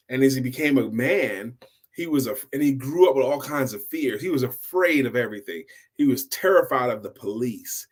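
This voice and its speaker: Middle-aged man telling an emphatic story. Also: medium pitch. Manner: emphatic, repeats key phrases for effect. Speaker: storytelling host, sales coach and speaker. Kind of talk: informal motivational storytelling.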